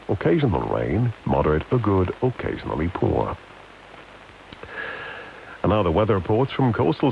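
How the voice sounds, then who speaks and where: Deep-voiced British radio announcer, hissy long wave. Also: male voice, gravelly, lo-fi sound. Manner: measured, deliberate, clipped list-like phrasing. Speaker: radio continuity announcer reading shipping forecast. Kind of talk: formal scripted weather bulletin reading.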